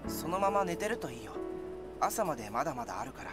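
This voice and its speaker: A man voicing a calm, gently aloof boy in a Japanese visual novel, with music underneath. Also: fairly high pitch, rapid-fire. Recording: loud game music underneath. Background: loud background music.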